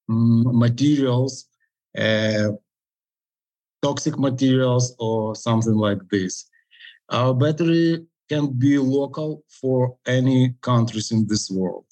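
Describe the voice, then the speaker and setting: Slow, halting Ukrainian-accented man. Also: medium pitch, warm tone, rough recording. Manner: halting, many pauses and fillers. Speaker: company founder, guest. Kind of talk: interview answers.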